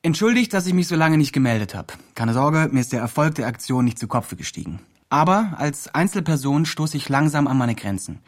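An actor playing a well-meaning, self-justifying helper who gives careful, reflective reports in a radio play monologue. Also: male voice, on the high side, sing-song, brisk.

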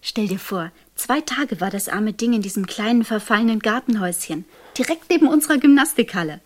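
An actress playing a solicitous wife in an audio drama, speaking in a tender, coaxing, breathy way. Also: female voice, on the high side, lively intonation, a little fast. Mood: eager relief. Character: solicitous, gently chattering wife of a troubled man.

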